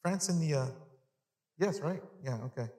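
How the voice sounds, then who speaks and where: A man in his fifties delivers a sermon in a fairly high-set voice. He talks conversationally, repeating key phrases and putting questions to his listeners. The sound is echoey, with the long reverb of a big hall. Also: moderate pace, warm tone. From Long Island, New York, United States (born in El Salvador). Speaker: preacher. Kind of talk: sermon to a live congregation. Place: large indoor church hall, speaking to an audience.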